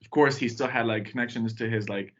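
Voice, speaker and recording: A young man from Tanzania, a podcast guest, telling his story in a relaxed, rambling way with lots of fillers. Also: mid-range voice, a little fast, highs cut off. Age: young adult. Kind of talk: casual podcast chat.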